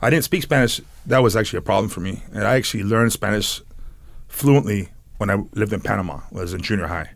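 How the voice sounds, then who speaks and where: A man's voice: lowish voice, quick pace, creaky, dry recording. A man in his forties from San Diego, a podcast guest telling stories casually, rambling and laughing between phrases. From San Diego, United States. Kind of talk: casual storytelling.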